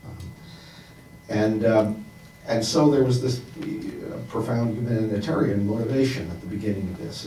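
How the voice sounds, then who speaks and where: A man on a panel answering in a reflective, halting way, full of ums and uhs. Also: lowish voice, warm tone, a little hiss or hum. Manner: reflective, halting, many fillers. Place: conference hall. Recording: roomy, distant conference hall mic.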